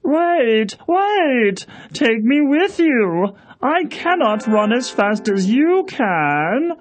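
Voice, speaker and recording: A woman voicing an earnest, insistent male frog in a children's fairy tale, with exaggerated, deliberate delivery. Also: a little high, very animated, exaggerated voice. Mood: urgent pleading.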